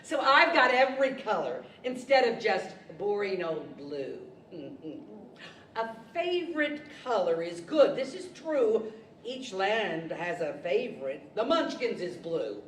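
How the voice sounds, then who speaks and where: A woman's voice: medium pitch, very animated, unhurried, a little hiss or hum. A woman playing a living patchwork doll, giddy, vain and giggly, with singsong radio-play delivery. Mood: giddy, boastful. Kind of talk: 1940s radio-style stage acting. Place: library stage, microphones on stands. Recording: echoey hall from far off.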